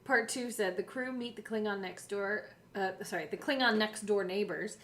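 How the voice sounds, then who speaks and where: A woman's voice: medium pitch, fairly bright tone, slight background noise. A woman co-hosting a casual conversation in a playful, joking manner. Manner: playful, quick to joke. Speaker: podcast co-host. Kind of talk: casual chat.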